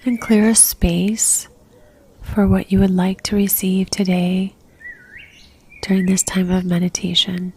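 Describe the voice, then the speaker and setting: A woman leading a guided religious meditation in a soft, near-whispered voice, gentle and calm, leaving long pauses. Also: mid-range voice, a little hiss or hum.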